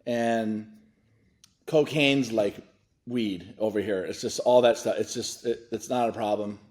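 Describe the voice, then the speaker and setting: A man in his forties from Hawaii, a foundation director speaking candidly and haltingly in an interview, often restarting his sentences. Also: fairly low pitch, darkish tone. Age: 40s. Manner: earnest, halting, restarts a lot. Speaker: nonprofit foundation director, guest.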